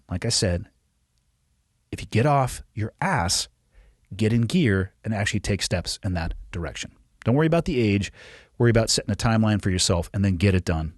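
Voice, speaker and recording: A man's voice: medium pitch, dry recording, clean studio sound. Blunt man in his forties. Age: 40s. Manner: blunt, profane, conversational. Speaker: podcast host. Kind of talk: solo Q&A advice segment.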